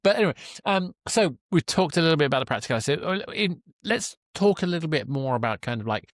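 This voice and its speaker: A man's voice: a little high, expressive, fast, no echo, clean studio sound. A man hosting a podcast in casual interview chat, feeling his way through long, rambling questions.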